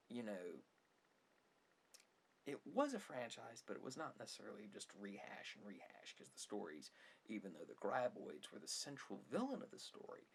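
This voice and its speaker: A man giving a rambling ASMR opinion talk with a husky, gravelly voice, halting and emphatic, often repeating and rephrasing himself. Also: lowish voice. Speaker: ASMR video creator.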